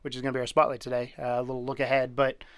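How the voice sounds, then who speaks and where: A man co-hosting a casual podcast, cutting in with quick jokey asides. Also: medium pitch, completely flat delivery, a bit bright, no room sound, studio quality. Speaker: podcast co-host.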